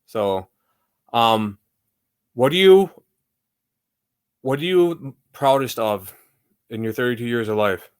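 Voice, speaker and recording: Man, forties, medium-pitched voice, podcast host, casual conversational interview style. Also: takes it slowly, pauses often, smooth. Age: 40s.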